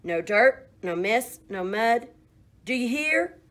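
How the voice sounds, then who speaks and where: A woman reading a children's picture book aloud, voicing a fussy, bossy farm woman who scolds in a shrill, loud voice. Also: lively intonation, takes it slowly. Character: bossy middle-aged farm woman who loves cleanliness.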